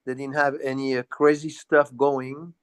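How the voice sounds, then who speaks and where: A man's voice: medium pitch, little pitch movement, moderate pace, clear, resonant tone. An older man with a French accent speaks as a musician being interviewed. He reminisces casually and loops back over his points, scattering filler phrases as he rambles along. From south of France. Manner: rambling, repetitive, peppered with "you know". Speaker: interview guest, jazz bassist.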